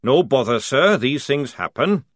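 A man voicing a gruff old army sergeant who barks orders. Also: medium pitch, lively intonation, a little slow, no room sound, clean studio sound, pitched above the performer's own voice. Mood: barking. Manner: bellowing, barking orders.

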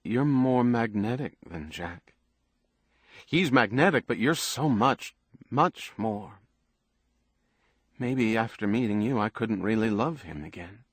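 A man's voice: fairly low pitch, animated, takes it slowly, pauses often, husky, no room sound, clean studio sound, exaggerated voice. A man voicing a troubled, needy young woman in audiobook dialogue, with an earnest, pleading manner. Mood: earnest, admiring. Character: troubled young woman, fragile, defiant, trusting, emotionally needy.

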